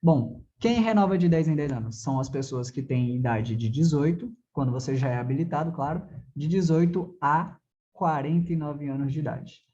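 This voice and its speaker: A Brazilian man teaches in a conversational, didactic way, often asking a question and then answering it. His voice is mellow and soft-edged. The recording is a little dull and lo-fi, like a simple lesson capture. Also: fairly high pitch, normal speed. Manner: didactic, asks and answers own questions, frequent check-in tags. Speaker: driving theory teacher. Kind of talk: conversational classroom lecture.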